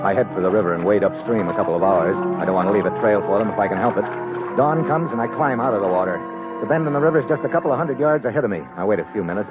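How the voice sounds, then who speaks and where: Wanted: male actor for tough, world-weary adventure hero narrating a 1950s radio serial, low pitch, dull vintage sound. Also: close to an everyday voice. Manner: clipped, wry, world-weary delivery. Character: hard-boiled male secret agent hero, wry and tough. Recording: muffled old radio recording, music behind.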